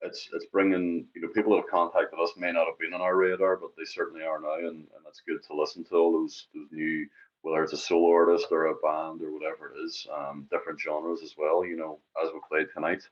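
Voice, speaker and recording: A man's voice: extremely low pitch, little pitch movement, fast talker, muffled recording. A Northern Irish man co-hosting a music podcast in casual chat, often repeating phrases for emphasis. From Northern Ireland.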